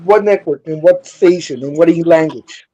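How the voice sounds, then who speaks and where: Hesitant host with a mellow voice. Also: male voice, fairly high pitch, normal speed, highs cut off. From Houston, Texas. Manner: hesitant, many fillers and restarts, quizzing guests. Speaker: stream host. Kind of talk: casual chat.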